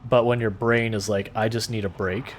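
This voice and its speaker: A man with a soothing voice chatting casually with his stream viewers as a game streamer, relaxed and rambling, often restarting his sentences. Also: fairly low pitch, normal speed, a little hiss or hum.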